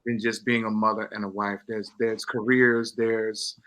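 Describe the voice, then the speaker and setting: A man's voice: lowish voice, little pitch movement, a little slow, a bit bright. A middle-aged man speaking earnestly and emphatically in a promotional interview, with a devout manner and repeated phrases. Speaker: stage play actor.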